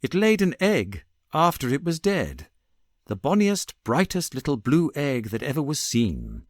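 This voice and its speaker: A British man voicing a suave, confident gentleman detective in a storybook reading, with a smooth, assured manner. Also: medium pitch, wildly swooping pitch, measured pace, dry recording, studio quality. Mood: cheery, teasing.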